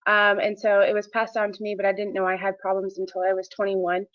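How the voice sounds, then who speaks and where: A woman's voice: mid-range voice, monotone, brisk, a bit bright, muffled recording. A woman in her twenties from Washington State, speaking hesitantly on a panel with many ums and restarts. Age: 20s. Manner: hesitant, lots of "um", self-correcting.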